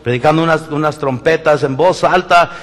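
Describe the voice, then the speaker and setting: A man's voice: a little high, normal speed, in a large hall. A man with a Mexican Spanish accent, preaching a sermon and telling stories from his own life, acting out the people in them, often restarting his sentences. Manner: animated storytelling, acts out quoted voices, many restarts. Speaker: pastor. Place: church sanctuary.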